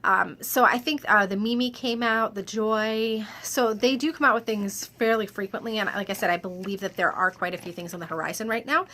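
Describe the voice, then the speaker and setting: Bright-voiced stuffy woman chatting. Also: mid-range voice, fairly quick, few pauses. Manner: reads viewer questions, answers chatty with many ums. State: stuffy, slightly nasal. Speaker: direct-sales clothing consultant. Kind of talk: casual Q&A vlog.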